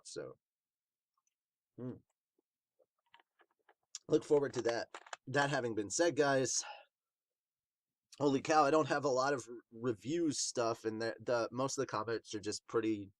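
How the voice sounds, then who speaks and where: Casual low-voiced man reviewing manga, dry sound. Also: no echo. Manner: informal, rambling, slangy. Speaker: host of a manga review podcast. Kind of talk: casual reaction commentary.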